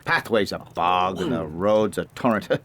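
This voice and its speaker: An actor playing an older father and genial host, animated and sputtering, in a radio drama. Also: deep voice, normal speed. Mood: grumbling. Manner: animated, sputtering, hesitant repeats. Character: excitable grumbling old father, genial host.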